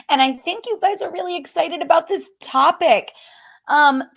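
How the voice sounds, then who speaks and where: A woman, a little hoarse, chatting brightly as host of a live stream for coaches. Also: very high voice. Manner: bubbly and chatty, says "y'all", laughs often. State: slightly hoarse. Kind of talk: casual live-stream talk.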